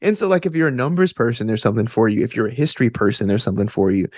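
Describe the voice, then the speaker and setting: A man's voice: mid-range voice, racing, a little mellow, dry recording. Enthusiastic man chatting casually, dry close sound. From American South. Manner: enthusiastic, informal, peppered with "like" and "you know". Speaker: podcast guest, actor and gamer. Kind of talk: casual interview chat.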